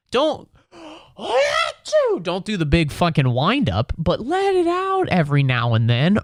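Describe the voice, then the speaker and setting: A young man in his late twenties rants into a close, dry mic at home, sick with a cold and swearing freely. He talks slowly and drags out his words, then his pitch leaps wildly up and down as he gets worked up. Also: no room sound. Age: 20s. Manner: profane, exasperated ranting with sudden high-pitched outbursts. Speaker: comedian podcast host. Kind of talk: ranting comedic monologue. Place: home room.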